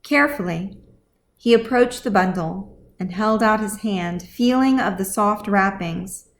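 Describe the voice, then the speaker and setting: A woman's voice: medium pitch, gravelly. A woman reading scripted fantasy fiction as audiobook narration, as the author of the story.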